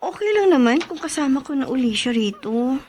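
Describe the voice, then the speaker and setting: A young wife in a radio drama. Her voice is fairly high, soft-hearted and pleading. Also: lively intonation, fairly quick. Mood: pleading, sympathetic.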